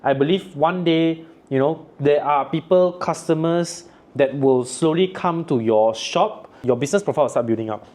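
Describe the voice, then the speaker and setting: A man's voice: fairly high pitch, moderate pace, darkish tone. Frank Malaysian man giving advice. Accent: Malaysian English. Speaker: podcast host. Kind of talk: casual chat.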